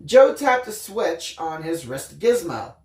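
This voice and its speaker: A woman hosting a story time, switching between casual chat and expressive reading aloud. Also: lowish voice, takes it slowly. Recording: roomy, echoing room sound.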